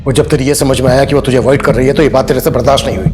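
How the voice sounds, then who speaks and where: A man's voice: medium pitch, fairly even intonation, lightly acted. An actor playing a stern senior police investigator in a TV drama, a man with an Indian accent who speaks with authority. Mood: accusing. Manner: brisk, authoritative. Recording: loud background music under dialogue.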